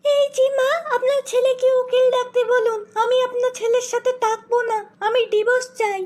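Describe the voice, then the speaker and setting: A woman voicing a quick-tempered, demanding young wife in exaggerated comic cartoon skits. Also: very high-pitched, level tone, darkish tone. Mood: indignant. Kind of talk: comic cartoon dubbing in short joke skits.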